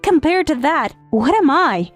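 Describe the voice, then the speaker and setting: A woman's voice: high voice, big pitch swings, a little slow. A woman playing a withdrawn, grieving teenage step-sister, narrating in an earnest, hesitant, confessional way in a manga dub. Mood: remorseful. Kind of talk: dramatic first-person narration in manga dub. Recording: background music under the voice.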